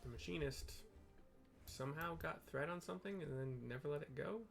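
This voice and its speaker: A man's voice: a little high, gravelly. Casual man describing gameplay over music. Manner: loose and offhand, describing the scenes in halting bits. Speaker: game player narrating for blind viewers. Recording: background music playing under the voice. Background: music from a playlist.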